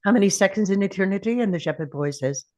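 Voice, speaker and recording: A woman's voice: medium pitch, expressive, fast talker, a little mellow, close to an everyday voice. A woman playing an ancient male time traveller, weary and defiant, at a table read of a drama script.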